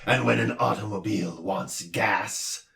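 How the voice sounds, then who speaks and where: A man voicing a pompous male doctor who lectures loudly and roars, in a storybook reading. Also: lowish voice, unhurried, vocal fry, reverberant, distant mic, full character voice. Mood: lecturing. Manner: loud, roaring, lecturing. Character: booming gruff male noise doctor, pompous.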